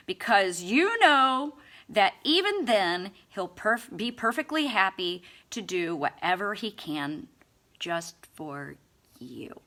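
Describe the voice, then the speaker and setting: Bright-voiced woman reading with slow sarcasm. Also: on the high side. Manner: sarcastic, mock-earnest. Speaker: amateur audiobook reader. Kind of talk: expressive scripted book reading.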